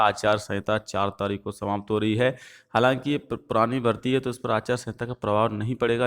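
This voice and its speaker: A man's voice: medium pitch, clean tone. A man giving viewers an informational update as the host of an online video channel. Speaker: YouTube host giving exam news updates.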